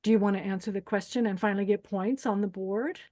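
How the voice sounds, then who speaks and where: A woman acting a bossy, menacing beauty pageant host in a screenplay table read, brisk and commanding. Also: mid-range voice, steady tone, mellow, close to an everyday voice. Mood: pressing, taunting.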